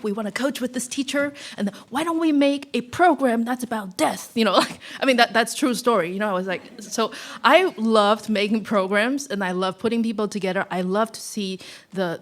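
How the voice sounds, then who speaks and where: A woman guest speaker giving a casual, reflective talk, chatty and conversational in manner. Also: medium pitch. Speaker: guest speaker, violinist and educator.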